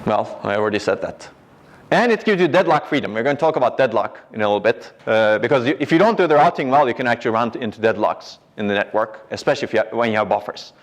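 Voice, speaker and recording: A middle-aged man teaching in a university lecture hall, walking and pointing as he explains. He talks in a patient, explanatory way, often pausing to ask "right?" and restarting his sentences. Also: medium pitch, animated.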